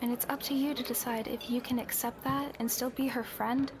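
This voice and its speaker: A woman's voice: a little high, steady tone, brisk, background noise, natural voice. A woman playing a young tavern keeper and confidante who talks bluntly but reassuringly, in a conversational roleplay drama. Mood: consoling.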